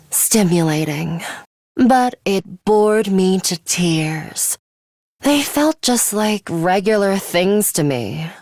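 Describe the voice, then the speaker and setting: A woman's voice: mid-range voice, no echo, studio quality. A woman playing a serious, coolly arrogant schoolgirl fighter with a bloodthirsty streak in a video game, speaking in a formal, precise, faintly sinister way. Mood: coolly teasing. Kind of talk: English video game voice acting, measured monologue.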